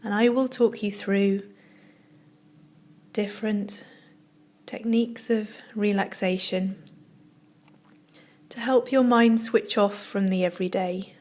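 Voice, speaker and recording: Very slow, soft-spoken woman guiding relaxation. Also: medium pitch, steady tone. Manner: soft, soothing, with long pauses between short phrases. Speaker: yoga teacher guiding relaxation. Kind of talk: guided meditation, yoga nidra narration.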